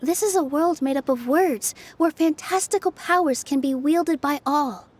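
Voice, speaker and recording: A woman playing a gentle, earnest young dancer turned forest fairy in a video game, speaking politely. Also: high voice, normal speed, lightly acted. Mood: earnest, explaining. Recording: music playing behind the voice.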